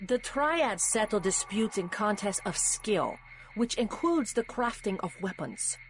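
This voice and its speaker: A woman playing a sly, poised Hong Kong politician in a video game, with a measured, persuasive delivery. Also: mid-range voice, animated, soft background music, natural voice.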